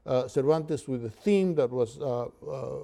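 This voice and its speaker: A man with a Cuban accent giving a literature lecture in a halting way, with many filler sounds. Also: medium pitch, creaky, warm tone. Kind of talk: university lecture.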